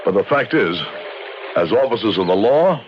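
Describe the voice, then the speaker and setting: An actor playing a dignified frontier judge, formal, measured and earnest, in the emphatic style of old-time radio drama. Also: male voice, lowish voice, expressive, slight background noise, close to an everyday voice. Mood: grave, formal. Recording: muffled radio recording with music behind.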